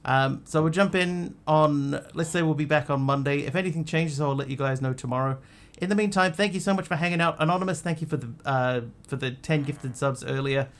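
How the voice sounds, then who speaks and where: A man's voice: a little high, fairly quick, hardly any pauses. A man who grew up in Australia, chatting casually to his stream audience in a rambling, hesitant way with lots of ums and restarts. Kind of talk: casual live-stream chat.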